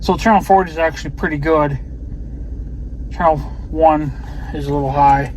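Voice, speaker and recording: An American man with a fairly high voice rambles casually to his viewers in a resigned, grumbling way. His talk is loose and unscripted. There is some steady vehicle noise around him. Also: normal speed, low-quality recording. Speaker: vlogger.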